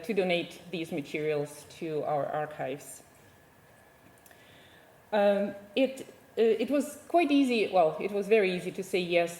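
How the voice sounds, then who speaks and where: A woman's voice: fairly low pitch, mellow, roomy sound. A woman from Ukraine, a native Ukrainian speaker, giving a conference presentation as a digital archivist, speaking hesitantly with many fillers and restarts.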